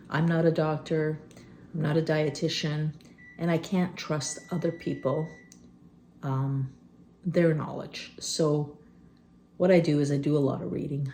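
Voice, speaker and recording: A woman in her fifties talks to camera in a loose, rambling way, full of fillers and pauses. Her low, gravelly voice sounds phlegmy, and she keeps clearing her throat. A faint hiss sits under the recording. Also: dark tone. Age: 50s. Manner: rambling, candid, many fillers. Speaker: vlogger. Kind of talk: unscripted personal vlog. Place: rural homestead.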